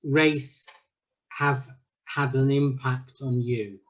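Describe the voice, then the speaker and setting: Slow, measured man, dull lo-fi sound. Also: mid-range voice, steady tone. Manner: measured, probing, reasoned. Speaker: former ethics teacher. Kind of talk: casual debate.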